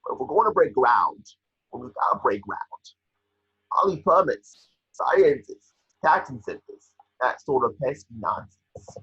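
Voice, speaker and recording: Man playing a smug, raspy business tycoon. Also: mid-range voice, sing-song, measured pace, fairly bright tone, heavily acted. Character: smug, impatient male business tycoon pitching grand plans. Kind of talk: amateur table read acting. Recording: echoey room, dull home microphone.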